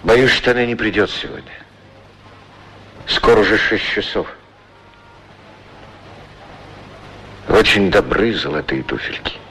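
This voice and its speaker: An actor playing a lonely, tender, anxious war-veteran shoe shiner, speaking hesitantly and earnestly in a 1960s radio play. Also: male voice, lowish voice, animated, moderate pace, bright, forceful tone, a little hiss or hum, rough recording. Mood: wistful, worried. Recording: old radio recording with music behind. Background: prominent music.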